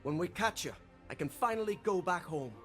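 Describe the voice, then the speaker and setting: A hapless male foot soldier from a video game, grumbling in a very animated, swinging voice. Music plays softly behind him. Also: high-pitched, fairly quick. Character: grumbling, hapless common soldier longing for home. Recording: background music under game dialogue.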